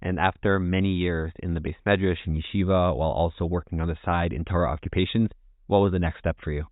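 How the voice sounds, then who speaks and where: A man's voice: sits low, fairly even intonation, fast talker, dry recording, phone-quality sound. A man hosting a podcast, asking brief, direct interview questions. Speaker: podcast host.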